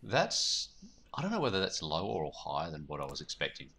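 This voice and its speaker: A man's voice: sits low, huge pitch swings, a little fast, vocal fry, pushed and bright, breathy edge. A man co-hosting a podcast in casual, wry, conversational chat. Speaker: podcast co-host.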